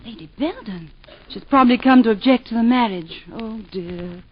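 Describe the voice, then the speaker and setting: A woman's voice: fairly high pitch, normal speed, noisy background, lightly acted. A woman playing a composed, teasing housewife. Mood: playful. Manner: gently teasing, lightly ironic. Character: composed, witty English housewife and mother, teasing and brave. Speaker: wife and mother. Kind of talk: 1940s radio acting. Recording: dull 1940s radio broadcast.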